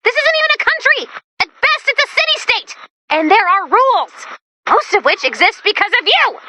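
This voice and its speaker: A woman voicing a quirky young female robot helper in a video game, chipper and expressive with sarcastic asides. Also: very high-pitched, normal speed, heavy background noise. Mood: wry, feisty. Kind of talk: animated video game character voice-over.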